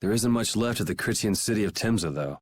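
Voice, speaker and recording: A man's voice: on the low side, animated, fast talker. An actor playing a self-assured young male adventurer in a video game, talking in a casual, wry, plain-spoken way. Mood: wry, resolute. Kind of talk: video game cutscene acting.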